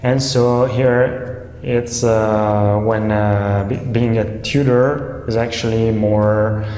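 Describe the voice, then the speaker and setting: A man's voice: on the low side, fairly even intonation, slow, a little mellow. A young Italian man talking casually to camera about tutoring, with many hesitant fillers. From Italy. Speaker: online language tutor. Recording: echoey room with long reverb.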